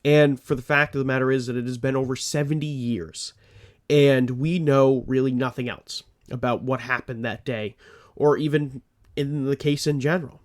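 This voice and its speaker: A man hosts a true crime podcast in a mid-pitched voice, stuffed up and a little phlegmy. He narrates earnestly and keeps drifting into rambling asides. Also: fairly even intonation, moderate pace. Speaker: podcast host.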